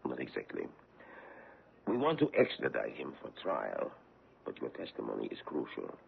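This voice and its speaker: An actor playing a courteous, probing foreign investigator on the trail of a war criminal, in a 1970s radio drama. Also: male voice, extremely low pitch, huge pitch swings, normal speed, creaky, husky, exaggerated voice. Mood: suave, probing. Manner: courteous, probing, gently menacing. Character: suave middle-aged foreign male war-crimes investigator. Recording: tinny old radio broadcast.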